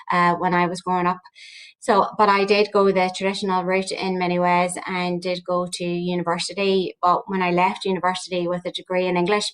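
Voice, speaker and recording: Woman, Northern Irish accent, low flat voice, hesitant, video call. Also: low-quality recording. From Northern Ireland. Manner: hesitant, many fillers. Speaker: research director. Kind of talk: panel answer. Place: home room with lamps.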